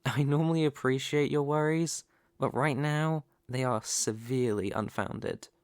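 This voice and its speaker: A man's voice: medium pitch, clean tone, natural voice. A man playing a young mafia heir in a scripted audio roleplay, with polite, formal manners over cunning menace. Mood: courteous, gracious. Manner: measured, courteous, formal delivery.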